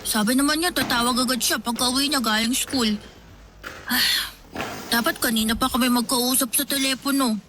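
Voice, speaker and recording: A woman's voice: sits high, steady tone, moderate pace, noisy background, lightly acted. A woman voicing a shy, polite teenage boy in a radio drama, bashful and eager as he waits by the phone. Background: music.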